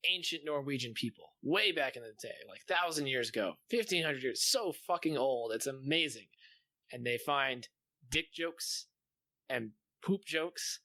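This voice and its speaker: A man co-hosting a podcast, telling stories in a casual, joking, sweary and animated way. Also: mid-range voice, no echo. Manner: animated, profane. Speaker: podcast co-host. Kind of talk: casual joking chat, storytelling.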